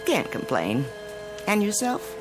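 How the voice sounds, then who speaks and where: An actress playing a polite, fretful middle-aged neighbor with a prim, hesitant, insinuating manner in a radio drama. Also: female voice, mid-range voice, huge pitch swings, takes it slowly, music in the background. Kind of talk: naturalistic radio drama dialogue.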